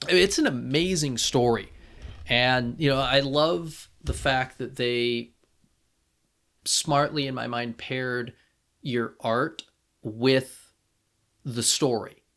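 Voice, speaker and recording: A man co-hosting a podcast, talking casually as a fan and thinking aloud in a halting way. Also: medium pitch, steady tone, fairly bright tone. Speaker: podcast co-host.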